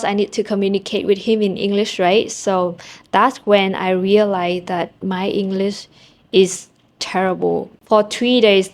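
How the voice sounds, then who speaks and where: A woman's voice: mid-range voice, darkish tone. A young woman from Vietnam with a Vietnamese accent, speaking English as a second language and telling personal stories to camera in a candid, self-deprecating way. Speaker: vlogger. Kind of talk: casual storytelling to camera. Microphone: small handheld camera mic.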